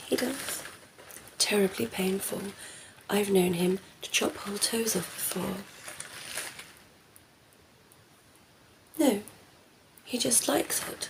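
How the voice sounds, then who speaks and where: Woman playing a slow, creaky, flirty vampire lady. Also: mid-range voice, low-quality recording. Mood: sly, cosy. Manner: playful, coy, murmuring, often dropping into whisper. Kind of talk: ASMR roleplay. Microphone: close ASMR microphone.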